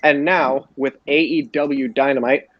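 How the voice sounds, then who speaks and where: A man's voice: mid-range voice, fairly even intonation, measured pace. A man hosting a podcast in a casual recap style, recorded on a dull lo-fi microphone. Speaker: podcast host. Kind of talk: casual wrestling show recap.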